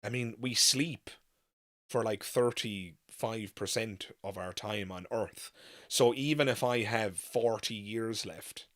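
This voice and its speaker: A man's voice: on the low side, slow pace. A man in his thirties hosting a casual live chat, musing in a rambling way and repeating phrases for emphasis. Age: 30s. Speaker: livestream podcast host.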